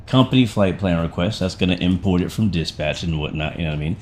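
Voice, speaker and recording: A man with a slightly raspy voice, not fully recovered from sickness, hosting a casual flight sim livestream in a chummy, slangy way and thinking aloud. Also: deep voice, fast talker, darkish tone, a little hiss or hum. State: getting over a sickness, voice not fully back. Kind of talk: casual stream commentary.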